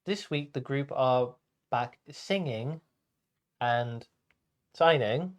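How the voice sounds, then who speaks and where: A man presenting a casual show as co-host, halting, repeating words and often clearing his throat. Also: mid-range voice.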